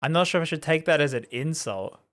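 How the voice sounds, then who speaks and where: Casting: male gaming streamer, fairly high voice, very expressive, chatty off-the-cuff commentary. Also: quick pace. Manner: chatty, amused. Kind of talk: casual commentary on a game.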